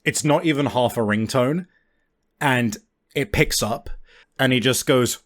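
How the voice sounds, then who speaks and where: A man running a tabletop game as game master, narrating casually in an informal, halting way with the odd swear word. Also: medium pitch, fairly bright tone, a little hiss or hum.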